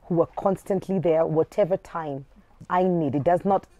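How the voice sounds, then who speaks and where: A woman in her thirties hosting a TV talk show, asking lively, playful questions in the studio. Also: fairly low pitch, moderate pace. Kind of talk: studio TV interview. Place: TV studio set.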